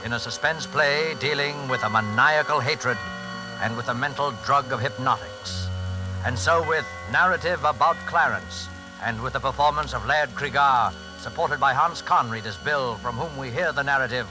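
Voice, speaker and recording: A man's voice: mid-range voice, moderate pace, rough recording. Old 1940s radio announcer guy, dramatic and formal intro, music playing behind him. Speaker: radio show announcer-host.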